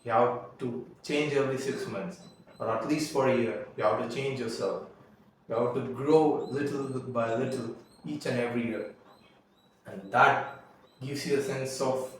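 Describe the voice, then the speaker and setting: A young man whose first language is Tamil, telling a halting, earnest confessional story and often restarting his words. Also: medium pitch, steady tone, slight background noise. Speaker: vlogger. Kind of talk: confessional monologue. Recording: echoey room, mic at a distance.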